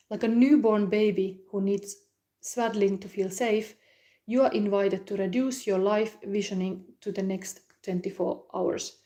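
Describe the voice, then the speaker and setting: A woman with a Finnish accent, a non-native English speaker, giving a calm, unhurried psychic reading and chatting loosely with viewers, using many fillers. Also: mid-range voice. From Finland. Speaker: psychic card reader. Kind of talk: live psychic reading and comment chat.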